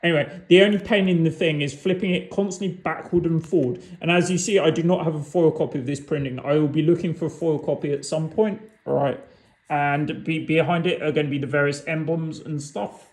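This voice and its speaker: A man casually walking through his card game decks on camera, rambling and halting, often restarting words. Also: on the high side, steady tone. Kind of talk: casual unscripted walkthrough. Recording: echoey room.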